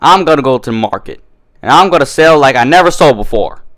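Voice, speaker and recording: A man's voice: medium pitch, lively intonation, fast talker, fairly bright tone, pitched above the performer's own voice, close to an everyday voice. A man voicing a stubborn, teasing country mare farmer with an American Southern drawl, blunt and folksy, in a cartoon fan-fiction reading. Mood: feisty rivalry.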